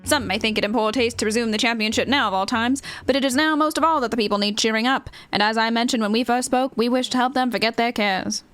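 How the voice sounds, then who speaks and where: A woman voices a theatrical tournament commentator from a fantasy game, with a high voice. The delivery is formal, polite and showy, like scripted dialogue read aloud. Faint music plays behind. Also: fast, few pauses, fairly bright tone, natural voice. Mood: earnest, formal.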